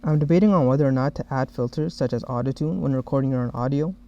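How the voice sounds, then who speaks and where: A man narrating a software demo as the developer who made it, explaining each step. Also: medium pitch, fast. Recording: dull, muffled lo-fi audio.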